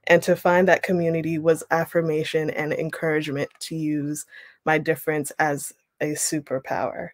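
A woman's voice: sits low, no echo. Woman reading aloud on a video call. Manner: measured reading, then relaxed explaining with ums. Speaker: writing workshop leader. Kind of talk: reading aloud and teaching a workshop. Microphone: webcam on a video call.

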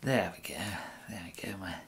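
A young man with an English accent softly whispering an ASMR roleplay as a polite, hesitant gentleman tailor. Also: sits low, animated, normal speed, slight background noise. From England. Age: young adult. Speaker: ASMR performer playing a tailor.

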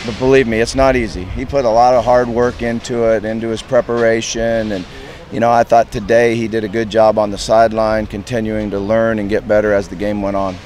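A man in his fifties, a head football coach, answering reporters outdoors at a stadium in a plain, measured way with lots of "you know" fillers. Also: on the low side, flat delivery, darkish tone. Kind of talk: post-game press interview.

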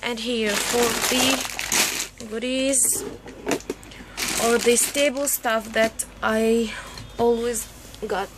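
A woman vlogger chatting casually and unscripted, haltingly searching for words as she shows things at home. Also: on the high side. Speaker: vlogger showing a grocery haul. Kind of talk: unscripted casual show-and-tell chat. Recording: noisy handheld home recording with room ambience.

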